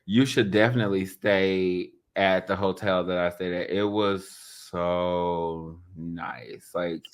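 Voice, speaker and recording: A man's voice: sits low, steady tone, slow. A man co-hosting a podcast, chatting casually in an informal, slangy way. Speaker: podcast co-host. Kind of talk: casual chat.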